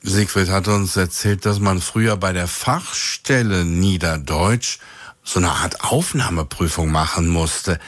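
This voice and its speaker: Male radio host, low dry voice. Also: no echo. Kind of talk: scripted radio narration.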